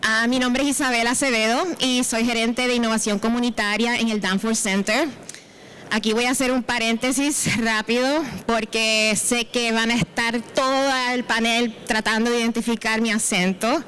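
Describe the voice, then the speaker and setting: A woman with a Puerto Rican accent speaks on a conference panel, her voice sitting a little high. She is courteous and slips in light humor. A faint hiss runs under the recording. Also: fairly even intonation, moderate pace, a bit bright, rough recording. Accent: Puerto Rican Spanish. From Puerto Rico. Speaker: panelist, innovation manager. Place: conference auditorium.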